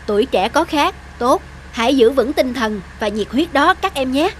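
A performer voicing a kindly, responsible young male volunteer leader in an animated film, encouraging and instructing. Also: high-pitched, moderate pace, slight background noise. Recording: music under the voice.

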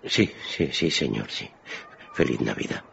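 A man's voice: extremely low pitch, lively intonation, unhurried, vocal fry, fairly bright tone, highs cut off, a little hiss or hum, exaggerated voice. A man playing a timid, deferential clerk in a radio drama, hesitant and submissive. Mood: meek, apologetic. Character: meek, timid male clerk, deferential to his boss.

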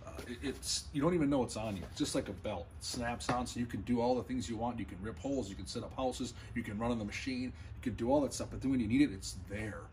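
A man's voice: medium pitch, fast talker, few pauses, darkish tone, a little hiss or hum, rough recording. Earnest man giving gear tips. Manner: earnest, enthusiastic, repeats himself and restarts phrases. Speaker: fishing channel host. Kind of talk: casual gear-tips talk to camera. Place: indoor gear room or shop, small.

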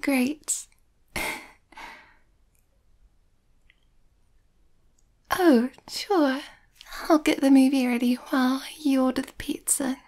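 Shy young werewolf girlfriend played by a woman for ASMR roleplay: high, mellow, hesitant and often half-whispered. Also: steady tone, unhurried. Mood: happy, bashful.

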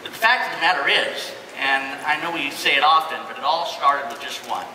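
A man's voice: fairly high pitch, a little fast, bright, forceful tone. A middle-aged man giving a prepared presentation as host on a large stage. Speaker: presenter, company executive. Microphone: microphone through hall PA. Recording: echoey hall from far off, crowd, noise.

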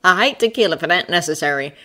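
A woman's voice: lowish voice, lively intonation, fast, bright, forceful tone. A woman voicing a plain-spoken, polite but argumentative Texan man, an aeronaut, in a storybook reading. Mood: urgent, frank.

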